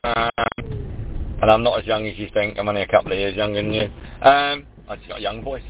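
A man's voice: lowish voice, very animated, moderate pace. A man on a two-way radio, chatting casually in a rambling, hesitant way with many fillers. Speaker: radio amateur. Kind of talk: casual chat over the air. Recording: tinny radio channel with noise.

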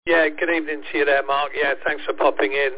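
Croaky man over a tinny radio link. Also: on the high side, little pitch movement, fast. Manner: friendly, hesitant with fillers. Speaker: radio net host. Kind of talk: casual radio chat.

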